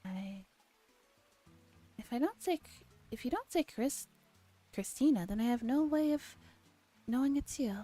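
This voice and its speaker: A woman streamer gently reading a visual novel aloud in a soft ASMR style, relaxed and chatting casually, at times dropping to a whisper. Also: a little high, a little slow, pauses often. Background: background music.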